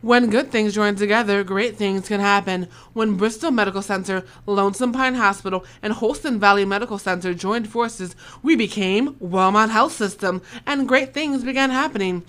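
A woman's voice: medium pitch, normal speed, few pauses, a bit bright. A woman delivering scripted commercial voice-over narration, as on an advertising reel or radio spot. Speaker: voice-over artist and radio host. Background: music bed behind the voice.

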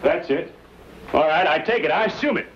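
An actor playing a brash, plain-spoken frontier fur trader with a conscience in an old western. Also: male voice, on the high side, animated, brisk, lo-fi sound, close to an everyday voice. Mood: troubled, earnest. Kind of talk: 1950s western screen acting. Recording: noisy old TV western soundtrack.

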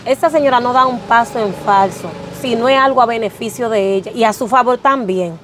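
Woman acting as an aggrieved neighbor, high-pitched, fast-talking, faint background noise. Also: close to an everyday voice. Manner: quick, aggrieved, animated. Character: upset female neighbor wronged over a loan.

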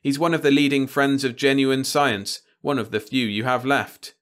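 A man's voice: medium pitch, fairly even intonation, moderate pace, fairly bright tone, pitched above the performer's own voice, close to an everyday voice. A man voicing an earnest, determined male news operator who talks in an urgent, insistent way.

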